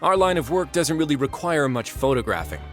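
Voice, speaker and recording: An actor playing a composed, wry man in charge of a prison fortress, speaking in a measured, dryly teasing way for a video game. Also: on the high side, lively intonation, faint music behind, close to an everyday voice. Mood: calm, dry. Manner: measured, dry, politely teasing.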